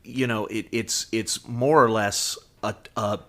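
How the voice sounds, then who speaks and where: Low-voiced man, halting podcast co-host. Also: fairly even intonation, sharp tone. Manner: halting, often repeats words as he thinks. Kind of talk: casual fan discussion.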